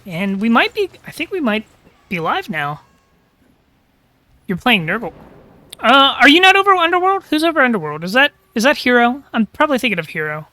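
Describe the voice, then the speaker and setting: A man's voice: very high-pitched, wildly swooping pitch, fairly quick, pushed and bright. A man casting a live tabletop-style video game match, chatting casually and thinking aloud, with a slightly crackly throat. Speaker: caster.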